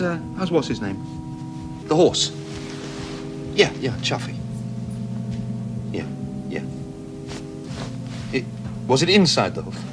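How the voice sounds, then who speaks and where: An actor playing a distracted husband phoning home, halting and perfunctory, in a 1970s television drama. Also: male voice, mid-range voice. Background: music.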